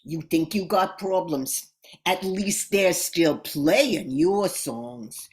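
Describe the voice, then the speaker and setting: A woman's voice: on the low side, slow pace, a little mellow. A woman playing a jaded, wisecracking sprig of holly who gripes in slangy style in an amateur radio comedy. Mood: sardonic, aggrieved. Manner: griping, slangy, sardonic. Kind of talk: amateur old-time radio comedy acting.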